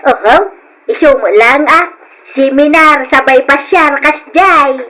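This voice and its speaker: A high, sharp, nagging mother-in-law. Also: takes it slowly, exaggerated voice, poor audio. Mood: disapproving, complaining. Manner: complaining. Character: disapproving, nagging older mother-in-law, protective of her son. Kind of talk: old-style radio drama acting in Ilocano. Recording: old radio drama recording.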